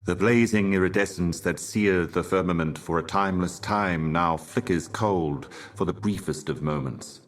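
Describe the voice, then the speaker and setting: An actor playing a grave, cryptic otherworldly envoy in a video game, speaking in a formal, oracular manner. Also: male voice, sits low, normal speed, hardly any pauses, natural voice. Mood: solemn, measured. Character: solemn, cryptic otherworldly male envoy of a cosmic mistress. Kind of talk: video game character voice lines.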